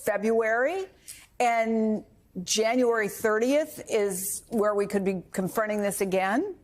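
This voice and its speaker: A woman's voice: mid-range voice, slow. A woman working as a TV news anchor, interviewing guests in a conversational style.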